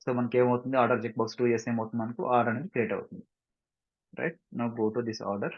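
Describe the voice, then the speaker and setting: Software trainer, male, Telugu Indian accent, slightly rough husky tone, instructional step-by-step delivery with check-in questions, muffled lo-fi recording. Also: mid-range voice, flat delivery, very fast. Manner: instructional, repeatedly checking understanding with short questions. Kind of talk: step-by-step screen-share tutorial, mixing two languages. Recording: dull, roomy lo-fi screen recording.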